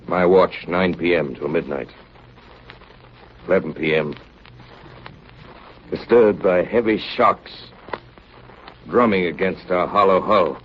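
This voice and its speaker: An actor playing a grave ship's officer narrating an Arctic expedition log in a measured, somber 1930s radio drama style. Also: male voice, low voice, slight background noise. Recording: dull 1930s radio broadcast with music.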